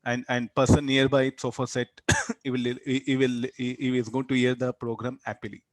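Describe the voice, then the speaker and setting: A man's voice: medium pitch, little pitch movement, moderate pace, dry recording. A man with a South Indian accent teaching physics as an interactive lecture, repeating words and tagging sentences with short questions.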